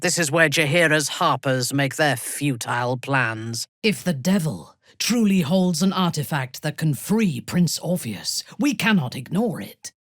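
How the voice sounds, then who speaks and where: A woman playing a haughty, ruthless dark elf warrior in a video game, speaking in an imperious, deliberate, measured way. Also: deep voice, slightly rough, no room sound, studio quality, full character voice. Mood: cool, measured. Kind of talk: dramatic video game character acting.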